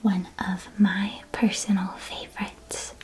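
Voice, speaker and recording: A woman playing a friendly, whimsical shopkeeper of magical sweets in a gentle, breathy ASMR whisper. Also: mid-range voice, level tone, lightly acted, poor audio. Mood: gentle, inviting. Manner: gentle, unhurried, reassuring sales patter. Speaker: ASMR roleplay performer.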